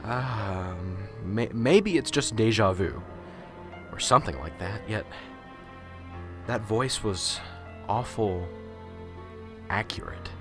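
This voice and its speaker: A man's voice: lowish voice, lively intonation, slow pace, pauses often, music in the background. A man playing a nervous, unsure professor in an amateur radio drama, muttering anxiously to himself and stammering.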